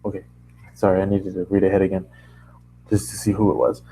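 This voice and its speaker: A man narrating a first-person story as an amateur reader, halting now and then to correct his phrasing. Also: low-pitched, completely flat delivery, dark tone, a little hiss or hum, low-quality recording.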